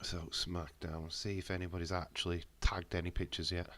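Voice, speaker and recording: A man's voice: sits low, little pitch movement, a little fast, fairly bright tone, a little hiss or hum. Man with a head cold, casual streaming. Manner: blunt, swears freely, reads out chat. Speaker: wrestling watch-along streamer. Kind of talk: casual live-stream chat.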